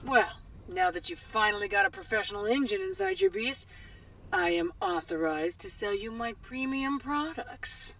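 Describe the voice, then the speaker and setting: An actress playing a sly, warm woman arms trader in a video game, with playful, theatrical phrasing. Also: fairly high pitch, big pitch swings, moderate pace, slight background noise, poor audio. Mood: upbeat, salesy. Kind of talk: video game cutscene acting.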